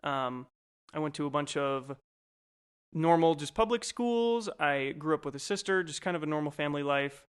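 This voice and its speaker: Young male co-host, twenties, smooth clear voice, relaxed rambling chat. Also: on the high side, moderate pace. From Colorado, USA. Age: 20s. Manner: rambling, easygoing, lots of fillers. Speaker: podcast co-host. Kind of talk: casual chat.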